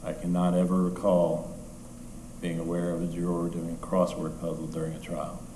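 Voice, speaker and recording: A man acting as judge, delivering a formal ruling in a measured way in a courtroom. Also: sits low, monotone, dark tone. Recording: echoey courtroom audio with noise.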